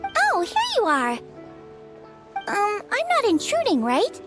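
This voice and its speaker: Casting: tiny anxious fairy sidekick for anime game; extremely high, squeaky, bright, childlike, hesitant delivery. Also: female voice, wildly swooping pitch, slow, soft background music, heavily acted. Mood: worried, chatty. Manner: hesitant, speaks of herself by name. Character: small, excitable female fairy companion, childlike and anxious.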